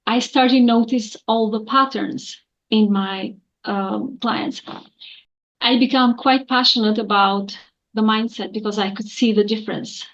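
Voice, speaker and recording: A woman's voice: fairly high pitch, dull audio. A woman, an accountant answering earnestly in an interview with many fillers. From Albania. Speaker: guest, accountant.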